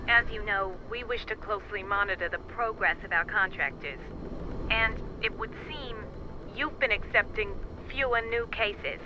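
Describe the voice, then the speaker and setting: An actress playing a formal, stern detective agency supervisor in a video game, businesslike and admonishing over the telephone. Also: female voice, mid-range voice, lively intonation, moderate pace. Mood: formal, probing. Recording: dull telephone call with clear noise.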